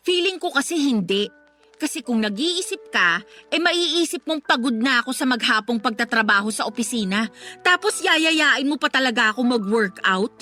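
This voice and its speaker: A woman's voice: on the high side, expressive, normal speed, faint music behind. A woman acting as a tired, blunt young girlfriend in a radio drama, confrontational and sarcastic as she complains. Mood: weary, complaining. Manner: sharp-tongued, confrontational, sarcastic. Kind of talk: Tagalog radio drama dialogue.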